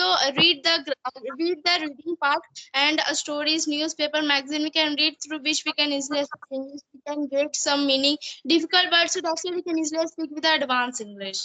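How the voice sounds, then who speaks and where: A young woman speaks English with an Indian accent, Hindi being her first language. Her voice is high-pitched and bright. She explains earnestly in conversation, often circling back to the same phrasing. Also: rough recording. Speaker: student giving English-learning advice. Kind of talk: conversational English practice interview.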